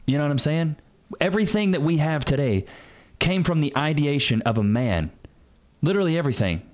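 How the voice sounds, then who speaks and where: A man's voice: fairly high pitch, expressive, brisk. A man co-hosting, dropping short reactions and riffing in loose, joking banter. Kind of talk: casual reaction chat.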